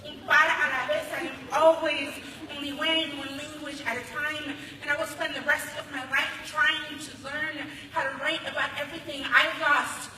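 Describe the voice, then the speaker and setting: An American woman performing spoken word poetry live with expressive, emphatic delivery into a stage microphone. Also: sits high, normal speed, few pauses. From Los Angeles, United States. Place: large hall at a conference ceremony. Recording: echoey hall, distant, faint noise.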